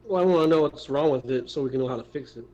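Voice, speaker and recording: A man's voice: mid-range voice, racing, warm tone, highs cut off. A man chatting casually as a player in a tabletop game. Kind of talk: casual chat.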